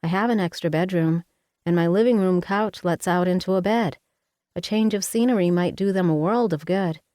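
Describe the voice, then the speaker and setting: A woman voicing a weary young woman visiting her mother, speaking gently. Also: on the low side, normal speed, dry recording, clean studio sound, close to an everyday voice. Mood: gentle.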